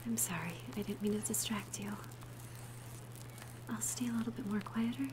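A woman voicing a gentle, shy young female alchemist in an ASMR roleplay, softly breathy, hesitant and tender. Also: mid-range voice, a little slow, noisy background, close to an everyday voice. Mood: shy, warm. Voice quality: soft, breathy whisper.

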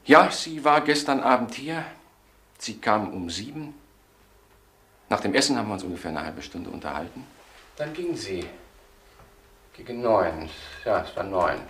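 Defensiver Doktor, hallige Aufnahme. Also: male voice, lowish voice, very animated, normal speed, long pauses, fairly bright tone. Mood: solemn, halting. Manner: hesitant, defensive, repeating himself. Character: evasive, indignant doctor under police suspicion. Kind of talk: German crime radio drama acting. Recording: roomy echo with faint background noise.

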